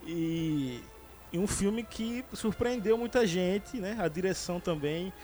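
A man's voice: sits high, lively intonation, slow pace, darkish tone, faint music behind. A man hosting a casual podcast about films, talking with many fillers and restarts. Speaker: podcast host. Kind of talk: casual movie chat.

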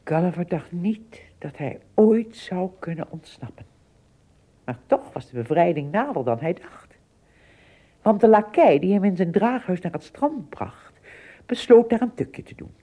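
A woman's voice: on the low side, sing-song, measured pace, warm tone, slightly rough. A woman narrating a radio play as a scripted storyteller.

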